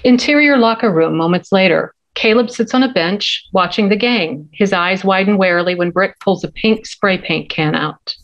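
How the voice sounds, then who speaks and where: A woman's voice: medium pitch, moderate pace, few pauses, lo-fi sound. Middle-aged woman narrating a screenplay. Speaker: stage directions reader. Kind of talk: scripted narration. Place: home office, video call.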